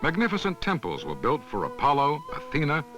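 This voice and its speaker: A man reads scripted documentary narration in a measured, instructional manner, as on a 1970s educational film soundtrack. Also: fairly high pitch, wildly swooping pitch, over music.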